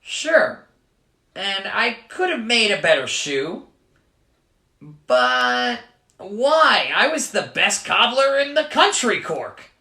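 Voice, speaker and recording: Storyteller putting on a cranky little leprechaun, wobbly irish lilt, bouncy pitch. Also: big pitch swings, vocal fry, bright, forceful tone, reverberant, heavily acted. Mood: proud. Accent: attempted Irish. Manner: high, lilting, quick to anger. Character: feisty male leprechaun cobbler, proud and stubborn.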